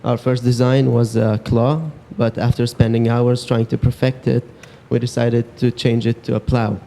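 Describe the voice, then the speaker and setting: A young man explaining a design change in a halting way, with fillers. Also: medium pitch, level tone, gravelly, mellow, a little hiss or hum. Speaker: student presenter. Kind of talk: presenting a project.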